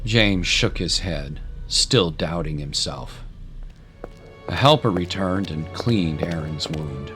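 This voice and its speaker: A man narrating a scripted dramatic story in a solemn, deliberate way. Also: low voice, lively intonation, fairly bright tone. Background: clear music.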